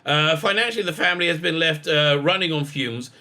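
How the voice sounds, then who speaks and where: Male channel host, raspy from illness, bright tone, halting delivery full of fillers. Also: a little high. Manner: halting, with many fillers and restarts, tags lines with "right?"; blunt and scornful. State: sick with a raspy voice. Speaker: online commentary channel host. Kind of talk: casual spoken commentary to camera.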